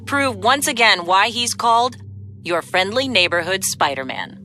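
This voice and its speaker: An actress playing a polished, upbeat television news reporter in a video game. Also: female voice, medium pitch, lively intonation, normal speed, natural voice. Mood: newsy.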